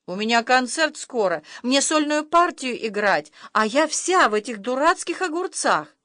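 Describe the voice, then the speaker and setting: A woman's voice: sits high, sing-song, normal speed, pushed and bright, dry recording, studio quality, pitched above the performer's own voice, full character voice. A woman voicing a tearful mother, a violinist, in a children's story. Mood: plaintive. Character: tearful mum, orchestra violinist.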